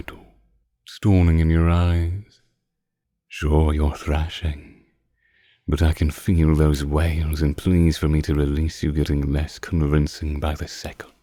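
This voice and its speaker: A man playing a seductive, possessive vampire in an intimate ASMR roleplay, teasing his captive with condescending tenderness in a slow purr. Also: a bass voice, mellow, dry recording, clean studio sound.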